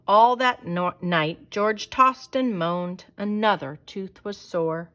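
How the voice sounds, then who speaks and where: Female storyteller, unhurried, dramatic children's read-aloud, mid-range voice. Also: medium pitch, a bit bright, smooth. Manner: dramatic, deliberate storytelling delivery. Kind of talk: expressive children's picture book read-aloud.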